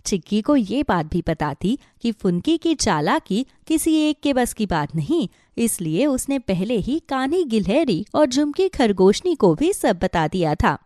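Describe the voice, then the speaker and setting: A woman's voice: fairly high pitch, very animated, measured pace, few pauses, dark tone, dry recording, studio quality. A woman narrating a scripted children's animal story.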